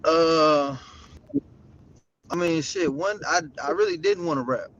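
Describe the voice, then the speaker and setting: A man's voice: a little high, slow, fairly bright tone. A man from South Central Los Angeles, a rapper talking as a guest in a casual interview, laid-back and rambling with lots of slang. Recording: dull lo-fi audio with faint noise.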